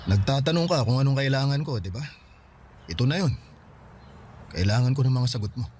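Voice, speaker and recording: A man's voice: mid-range voice, lively intonation, fairly quick, darkish tone, slight background noise, natural voice. A man acting a regretful young man who wants his ex back, speaking curtly and sheepishly in a radio drama. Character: regretful young man seeking an old flame. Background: music.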